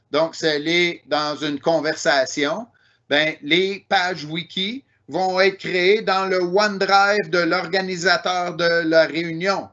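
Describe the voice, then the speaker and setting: A man's voice: sits high, bright, forceful tone, highs cut off. A man with a Québécois French accent giving an online technical presentation, explaining step by step with frequent "euh" and "ben" fillers.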